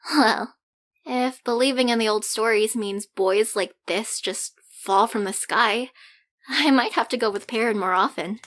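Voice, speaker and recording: A woman playing a young, excitable and cheeky alien in an audio roleplay, with an eager, questioning manner. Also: on the high side, unhurried.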